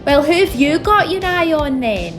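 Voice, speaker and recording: A woman's voice: sits high, big pitch swings, unhurried. A young woman playing a defensive, teasing nursing student in casual audio drama banter. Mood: indignant, teasing. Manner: indignant, teasing. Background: music.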